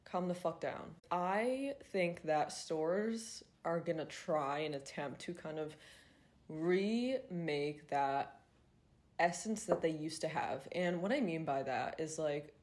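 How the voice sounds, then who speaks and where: A woman's voice: low-pitched, animated, slow pace, darkish tone. A woman in her twenties hosting a podcast, chatting casually with lots of fillers and swearing, sounding congested and nasal. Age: 20s. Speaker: podcast host. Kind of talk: casual solo podcast chat.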